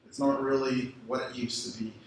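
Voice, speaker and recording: A Canadian man giving a conference presentation, energetic, with lots of rhetorical questions and ums. His voice bounces around a very echoey room with some faint background noise. He sounds like he is speaking to the audience from the stage. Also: medium pitch, normal speed.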